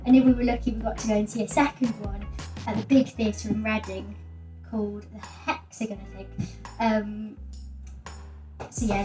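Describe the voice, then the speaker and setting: A woman's voice: medium pitch, a little mellow. A young woman from England chatting casually as an amateur baker while she cooks. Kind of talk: casual chat while baking. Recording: roomy, distant mic.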